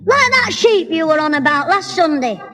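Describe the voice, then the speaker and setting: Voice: extremely high pitch, big pitch swings, moderate pace, pushed and bright, soft background music, full character voice. An actor playing a cheeky, grumbling Northern English schoolboy in a 1960s radio sitcom. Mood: wheedling. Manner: cheeky, indignant back-chat. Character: cheeky, grumbling working-class schoolboy, scheming and excitable.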